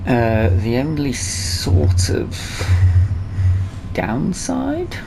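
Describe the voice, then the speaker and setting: A man's voice: medium pitch, wildly swooping pitch, very slow, gravelly, noisy background. A man giving casual let's play commentary, hesitant and musing aloud to himself as he hosts a gaming video.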